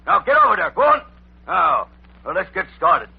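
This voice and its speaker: An actor playing a boastful, blustering cowboy with a husky voice and an American Western drawl, in 1940s radio comedy style. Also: male voice, sits high, wildly swooping pitch, moderate pace, heavily acted. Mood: blustering, combative. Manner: folksy, loud bragging. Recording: tinny, band-limited old radio recording.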